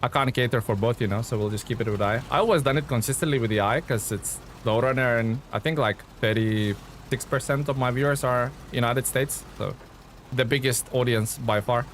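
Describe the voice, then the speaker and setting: A man chats easily with his live-stream viewers, his voice sitting at an ordinary middle pitch. He rambles a little, stopping and restarting with plenty of "uh"s. A faint hiss sits under the recording. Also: fairly quick. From Lithuania. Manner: relaxed, chatty, many fillers and restarts. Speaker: game streamer. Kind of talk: casual live-stream chat reading viewer comments.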